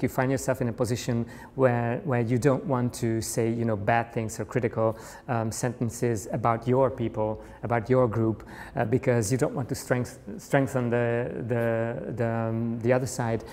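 A man in his thirties, an art critic speaking on a panel, talking hesitantly with many restarts in a bright curtained room. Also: mid-range voice, in a large hall. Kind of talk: panel discussion.

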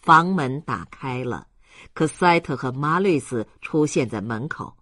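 Expressive male audiobook narrator. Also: medium pitch, measured pace, no room sound, studio quality. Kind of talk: dramatic scripted novel narration.

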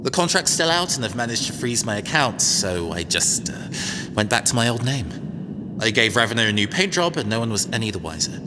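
A man's voice: medium pitch, very animated, fairly quick, in a large hall, noisy background, close to an everyday voice. A man playing a cagey, self-assured robot engineer mercenary in an audio drama, wry, conversational and hesitant. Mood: wry, recounting.

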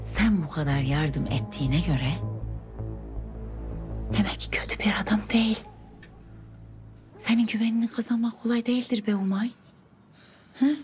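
An actress playing a brash, scheming older sister in a village house in a TV drama, speaking. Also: female voice, mid-range voice, moderate pace, pauses often, a little mellow, natural voice. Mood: persuasive. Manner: bossy, scolding, chatty. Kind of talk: TV drama acting. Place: indoor village house room. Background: music.

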